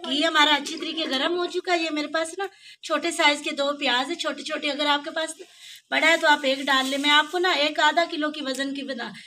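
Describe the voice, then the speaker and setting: A Pakistani woman with a high voice talks through a home recipe in a chatty, homely way. She is recorded by phone outdoors at a clay stove at night, and her voice sits a little far from the mic. Loud frying and sizzling run underneath. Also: fairly even intonation, a little fast. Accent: Pakistani Urdu. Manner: chatty, homely, pleads with viewers to subscribe. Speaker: cooking vlogger. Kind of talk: casual cooking narration. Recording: distant voice with sizzling noise.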